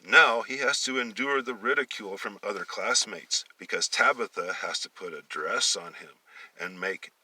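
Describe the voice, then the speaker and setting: Forceful, bright-voiced man reading a story aloud. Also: fairly low pitch, slightly rough, recorded from a distance, poor audio. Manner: halting, with misreadings and repeated phrases. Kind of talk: amateur dramatic story reading.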